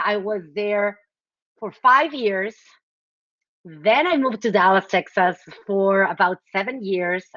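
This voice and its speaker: A woman in her forties from Mexico, a guest chatting casually in an interview, warm and talkative with many fillers and frequent laughs. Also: mid-range voice, no room sound, muffled recording. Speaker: guest, nonprofit executive director.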